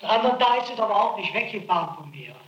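A man's voice: high-pitched, lively intonation, rapid-fire, fairly bright tone, reverberant, muffled recording, a little hiss or hum. A man being interrogated, exhausted and complaining of a headache, pleading and exasperated as he keeps repeating himself. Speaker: suspect under interrogation. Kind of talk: archival interrogation recording.

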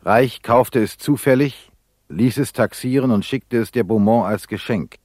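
An actor playing a terse, insistent investigator in a radio drama, reporting in a clipped, matter-of-fact way. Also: male voice, medium pitch, a little slow, darkish tone, dry recording, studio quality, natural voice.

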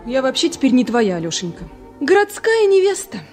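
Saucy young village girl, radio drama; high voice, sharp tongue, mocking, faint music behind. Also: sing-song, brisk. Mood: teasing, indignant. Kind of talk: Soviet radio play acting.

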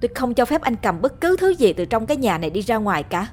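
A woman's voice: a little high, sing-song, fast talker, darkish tone, faint music behind, voice pushed up. A woman voicing a distraught young woman, defiant and frantic. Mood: accusing, shrill.

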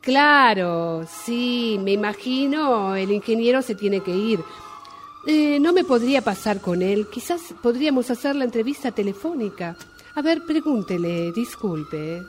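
A woman's voice: fairly high pitch, expressive, unhurried. A woman acting a polite, flustered job applicant, hesitant and apologetic on the phone, in a radio drama. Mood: flustered, pleading. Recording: amateur workshop recording, sirens and traffic noise.

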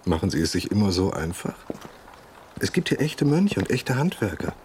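A man's voice: low-pitched, very animated, normal speed, darkish tone, slight background noise. An actor in a radio drama playing a sly, glib man disguised as a monk, mock-pious and evasive. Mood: glib, teasing.

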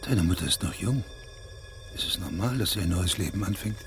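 An actor playing a stern, methodical investigating judge in a radio drama, measured, probing and authoritative. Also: male voice, sits low, expressive, a bit bright, faint music behind. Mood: calm, probing.

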